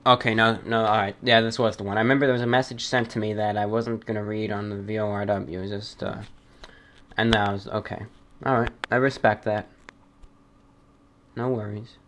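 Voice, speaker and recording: Man hosting a halting, deliberate mail show. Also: fairly low pitch, flat delivery, fairly bright tone, slight background noise. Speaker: host of a listener-mail show. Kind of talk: reading listener mail and commenting casually.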